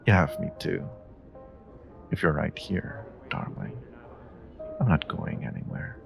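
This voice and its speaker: A man playing a flirty, charming stranger in an intimate romantic audio roleplay, with teasing, drawn-out, murmured lines. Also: extremely low pitch, big pitch swings, vocal fry, over music, heavily acted.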